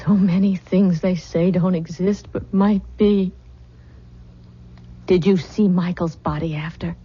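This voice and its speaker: An actress playing a distraught, obsessive young widow in an old-time radio drama, intense and pleading. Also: female voice, lowish voice, fairly even intonation, unhurried, warm tone, slightly rough. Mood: haunted, insistent. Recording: dull, muffled old radio recording.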